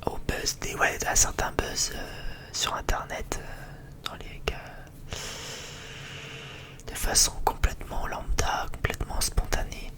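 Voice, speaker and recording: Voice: unhurried, sharp tone. An ASMR creator chatting in a rambling, hesitant way, often repeating phrases. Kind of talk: whispered ASMR chit-chat.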